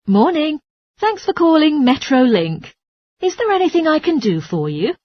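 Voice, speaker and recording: A woman's voice: high-pitched, sing-song, moderate pace, darkish tone, dry recording, studio quality. A woman playing a courteous, helpful transport information clerk in a scripted language-test dialogue.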